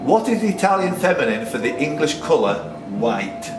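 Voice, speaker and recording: A man's voice: a little high, sing-song, normal speed, distant mic. A man hosting a quiz, reading out questions and bantering casually with the players. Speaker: quizmaster. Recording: echoey room, off-mic, music behind.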